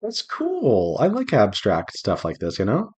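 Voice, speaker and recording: A man's voice: lowish voice, wildly swooping pitch, a little slow, a little mellow, breathy edge. A man chatting casually and playfully to himself and his viewers while he draws, rambling along as an indie game developer on a devlog. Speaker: visual novel developer streaming while drawing.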